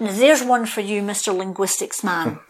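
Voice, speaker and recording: A middle-aged woman from Scotland, co-hosting a podcast in casual, animated conversation full of ums and asides. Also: medium pitch, normal speed. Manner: animated storytelling with frequent ums and you-knows. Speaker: podcast co-host.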